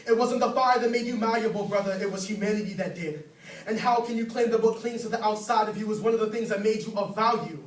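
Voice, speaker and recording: A man's voice: high voice, fast talker. A man performing slam poetry as a spoken word poet, with a rhythmic, rhyming, declamatory delivery. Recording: roomy, distant mic, faint noise.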